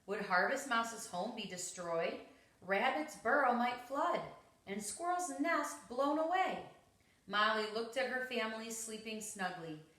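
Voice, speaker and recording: A woman's voice: fairly high pitch, measured pace, fairly bright tone. A woman reading a children's story aloud, expressively and with dramatic emphasis. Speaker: storybook reader. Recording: roomy, echoing home recording.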